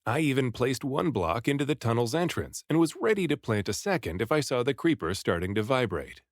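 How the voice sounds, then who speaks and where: Male audiobook narrator, highly varied pitch, dramatic delivery, very dry studio-like sound. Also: fairly quick, no room sound. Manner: dramatic, animated delivery with acted dialogue. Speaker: read-aloud narrator and voice actor. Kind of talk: expressive first-person audiobook storytelling.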